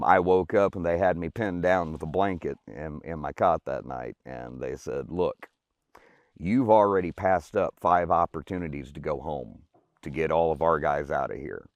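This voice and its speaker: A man's voice: very deep voice, moderate pace, dry recording. An American man in his forties, an Army veteran, telling his own story informally in plain, colloquial words.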